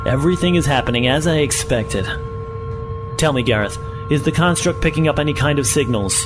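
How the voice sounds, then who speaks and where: An actor playing a scheming, self-important professor in a science fiction audio drama, with formal, theatrical diction. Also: male voice, on the high side, animated, brisk, close to an everyday voice. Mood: smug excitement. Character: scheming, self-important male professor, smoothly feigning innocence. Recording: loud music under the voice.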